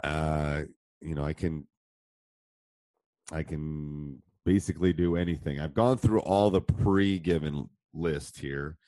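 A man in middle age with a very low, deep voice, roughened a little by a cold. He talks through coaching ideas in a loose, rambling way, stopping to restart his thoughts and filling the gaps with ums. The sound is dry and close, with no room around it. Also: takes it slowly, mellow, no room sound. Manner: conversational, many ums and restarts. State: has a cold. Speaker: basketball coach. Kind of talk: live online coaching session answering questions. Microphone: handheld mic during live stream.

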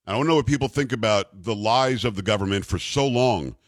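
A man's voice: on the low side, brisk, no echo, studio quality. An American man hosting political talk radio, giving opinionated commentary in an emphatic, incredulous and sarcastic manner. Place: radio studio.

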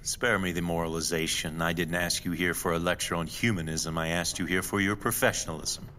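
A deep-voiced, imperious rich man, heard in a long echoing hall with faint noise. He is formal, clipped and condescending, with cold menace in an audio drama style. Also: steady tone, fairly quick, sharp tone. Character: imperious, coldly menacing wealthy male client. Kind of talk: audio fiction acting.

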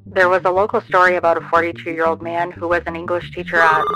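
A woman's voice: fairly low pitch, flat delivery, fast talker, music in the background, close to an everyday voice. A woman playing a casual, concerned friend on a phone call in a naturalistic audio drama. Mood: eager.

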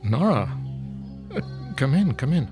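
A courteous male professor voiced by an actor, explaining in a measured, scholarly manner. His voice sits at a middle pitch, in an audio drama with clear background music. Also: big pitch swings. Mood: measured, explanatory. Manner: explanatory, slightly academic.

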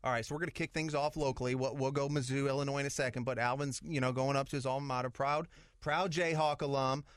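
A man's voice: medium pitch, fairly even intonation, fast talker, no room sound, clean studio sound. A man hosting a sports podcast, talking in an easygoing, conversational way and steering the questions. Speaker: podcast host.

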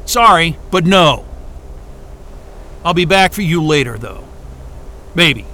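An excitable, irreverent male hero on the run, has a high voice that swings widely in pitch. His bright, casual delivery suits a scrappy audio drama lead. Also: slow pace, slight background noise, heavily acted. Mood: wry. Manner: casual, expressive, swearing. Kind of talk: scripted audio drama acting.

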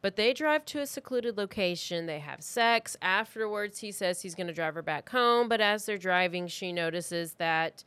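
A woman's voice: medium pitch, expressive, normal speed, hardly any pauses, fairly bright tone, clean tone, dry recording. A woman in her thirties hosting a podcast, telling a true crime story in a casual, loosely worded conversational way. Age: 30s. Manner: informal, loosely worded, acts out reported remarks.

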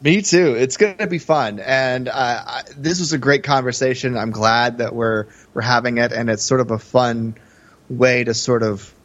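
A man's voice: mid-range voice, normal speed, a bit bright, lo-fi sound. A man co-hosting a podcast, talking through film in a casual, conversational way with hesitant, hedged phrasing. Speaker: podcast co-host.

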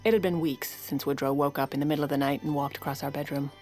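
A woman's voice: low-pitched, steady tone, quick pace, darkish tone, faint music behind, natural voice. An actress playing a wry, cynical First Lady in an audio drama, with a sardonic manner. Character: wry, cynical First Lady tending her ailing husband. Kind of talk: dramatic podcast narration and dialogue.

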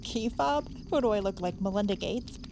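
A woman's voice: medium pitch, lively intonation, normal speed, background noise, lightly acted. A woman playing a sarcastic, bossy young woman on the run in a naturalistic audio drama. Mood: dry, irritated. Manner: snippy, exasperated.

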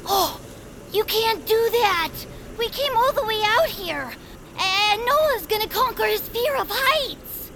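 Very high, creaky, eager little creature. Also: female voice, lively intonation, slow pace, heavy background noise, exaggerated voice. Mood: eager, insistent. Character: eager, pleading young peepkin child, small bird creature. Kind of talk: audio drama cartoon acting.

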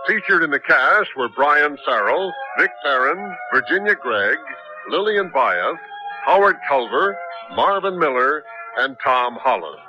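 A man's voice: fairly high pitch, big pitch swings, measured pace. A man reading scripted credits as announcer for a 1970s radio theater. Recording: dull 1970s radio recording with music.

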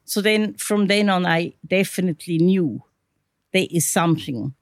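A woman's voice: fairly low pitch, normal speed, dry recording, studio quality. An older woman with a South African accent, reminiscing casually in a blunt, matter-of-fact way. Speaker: musician's mother, interview guest.

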